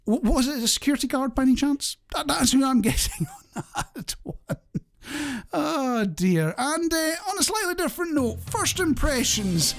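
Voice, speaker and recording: A man's voice: very high voice, expressive, normal speed, vocal fry. A man hosting a light-hearted radio show, chatting in a jokey, self-deprecating way straight to the listener. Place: radio studio.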